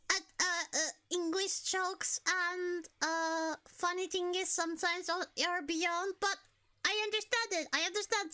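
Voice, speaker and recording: A woman's voice: very high-pitched, sharp tone. A woman from Finland with a Finnish accent, chatting casually and giggling as a live streamer, often stumbling over her words.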